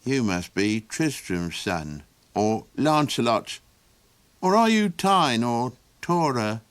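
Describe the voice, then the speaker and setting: A man voicing a grave, hushed traveller. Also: medium pitch, sing-song, slow pace, dry recording. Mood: ominous. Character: grave male traveller, ominous and warning.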